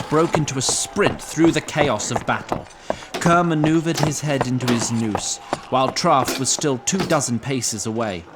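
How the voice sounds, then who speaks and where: A man with a medium-pitched voice narrates dramatic fantasy. His delivery is deliberate and solemn, clearly scripted. Music plays prominently behind him.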